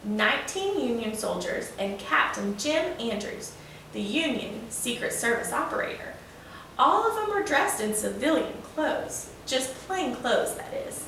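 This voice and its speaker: A proud, folksy old steam engine from a children's storybook, played by a woman, eagerly spins a tale. The delivery is animated and fairly high-pitched. It sounds distant in a very reverberant room. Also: unhurried, heavily acted. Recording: echoey room, distant mic, faint noise.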